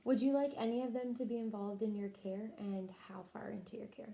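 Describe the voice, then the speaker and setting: A woman's voice: medium pitch, steady tone, fairly quick, creaky, dark tone, slight background noise. A woman conducting a health history interview in the manner of a clinical questionnaire, as a nurse taking down a patient's answers.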